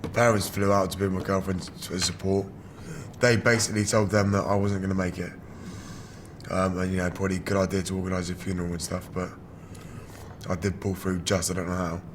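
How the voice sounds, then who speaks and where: British male, twenties, candid interviewee. Rough, damaged voice sitting very low, faint location noise behind. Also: flat delivery, fairly quick. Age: 20s. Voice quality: damaged, rough voice from throat surgery. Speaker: wheelchair rugby player.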